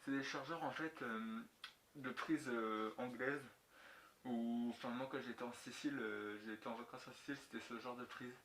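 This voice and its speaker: A man's voice: medium pitch, steady tone, moderate pace, fairly bright tone. Casual man in an echoey, noisy room. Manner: colloquial, slangy, mild swearing. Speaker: motovlogger reviewing gear. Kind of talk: casual unscripted product review. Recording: echoey room, clicks and clear background noise.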